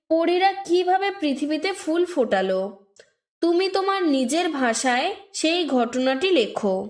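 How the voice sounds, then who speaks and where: A woman teacher reading lesson answers aloud for young pupils in deliberate, short, separate phrases. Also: high voice, reverberant. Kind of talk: scripted lesson read aloud, dictation-like.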